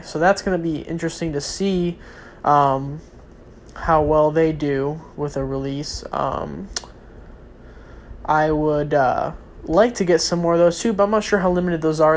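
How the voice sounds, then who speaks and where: A young man with a slightly high, congested voice, stuffy from a cold. He rambles casually in a vlog, full of ums and restarts. A faint hiss of noise sits behind him. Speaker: vlogger. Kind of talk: casual solo talk on football and sneakers.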